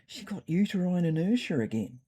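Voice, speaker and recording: A woman's voice: lowish voice, sing-song, brisk, warm tone, slightly rough. A woman voicing a brash, careless male cat in a comic story, with a casual, confident, bossy manner. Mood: matter-of-fact.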